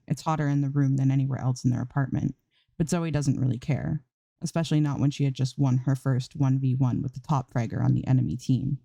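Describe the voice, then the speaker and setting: A woman's voice: a bass voice, vocal fry, warm tone, dry recording. A woman narrating a scripted piece of fan fiction as an amateur story reader.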